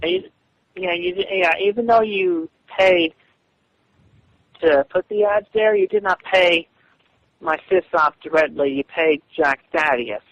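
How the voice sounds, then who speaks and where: A man on a phone call, arguing defensively in a halting, repetitive way. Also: sits high, a bit bright. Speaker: webcomic artist. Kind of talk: phone argument. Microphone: telephone.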